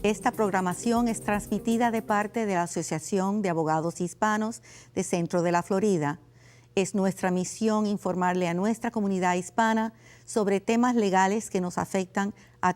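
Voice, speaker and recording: A woman hosts a legal affairs program on community television. She speaks formally and at an even, measured pace, with a voice of middling pitch. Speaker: lawyer hosting a legal TV program. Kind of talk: scripted TV hosting and interviewing.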